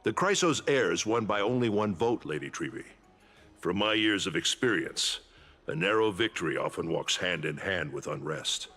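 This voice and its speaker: Deep husky seasoned advisor. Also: male voice, animated, moderate pace, bright, forceful tone, soft background music, exaggerated voice. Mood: grave. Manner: formal, authoritative. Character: seasoned, grave male advisor or officer. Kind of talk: dramatic fantasy game voice acting.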